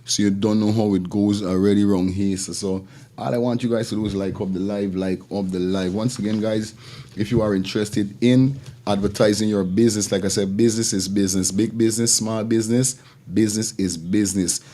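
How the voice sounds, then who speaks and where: Low-voiced, mellow Caribbean male host. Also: steady tone, a little fast. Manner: informal, repetitive, slangy patter. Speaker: live show host. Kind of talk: casual live-stream talk with shout-outs.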